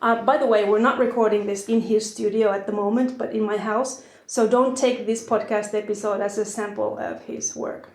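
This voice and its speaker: A woman's voice: medium pitch, very animated, moderate pace, hardly any pauses, a little mellow. A woman with a Finnish accent hosting a podcast, introducing the episode and chatting with a guest in her home. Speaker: podcast host. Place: her house. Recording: roomy echo.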